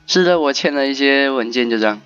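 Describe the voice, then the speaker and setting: A man's voice: medium pitch, expressive, fast talker, fairly bright tone, lo-fi sound. A man giving casual let's-play commentary and reading game dialogue aloud. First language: Chinese. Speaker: game streamer. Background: faint game music.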